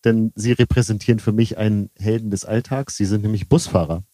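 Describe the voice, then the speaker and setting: Low-voiced podcast host, dry sound. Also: male voice, fairly even intonation, fairly quick, warm tone, no echo. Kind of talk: casual interview.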